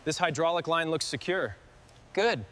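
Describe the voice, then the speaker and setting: High-voiced man giving clipped shop orders. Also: lively intonation, moderate pace, fairly bright tone. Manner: terse, clipped instructions. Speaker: restoration worker. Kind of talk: scripted workshop dialogue.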